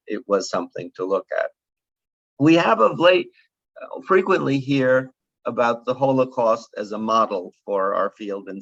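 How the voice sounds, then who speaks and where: A man's voice: medium pitch, fairly bright tone. An older man in his seventies offers closing remarks at an academic conference, thinking aloud with many fillers and restarts. His mid-range voice sits close to the mic with no room echo. The sound is slightly dull, like a video call. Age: 70s. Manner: measured, digressive, frequent "uh" fillers and restarts. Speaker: conference speaker.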